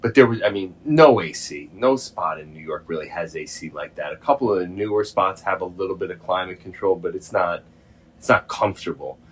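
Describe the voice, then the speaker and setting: A middle-aged man in a casual phone conversation, speaking informally and haltingly, with some swearing. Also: low voice, breathy edge. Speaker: host, former prisoner.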